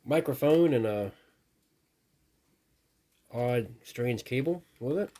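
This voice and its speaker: A man's voice: mid-range voice, lively intonation, slow pace, mellow. A man casually narrating an unboxing as a hobbyist host, musing and thinking aloud. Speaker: radio hobbyist video host.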